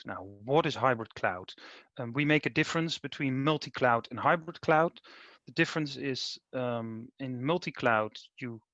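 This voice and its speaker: A man with a Dutch accent walks through a webinar presentation, filling his pauses with ums and uhs. The sound is dull and dry, with no room behind it. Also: medium pitch, bright, forceful tone, no room sound. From Netherlands. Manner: hesitant, many ums and uhs. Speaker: managing director presenting.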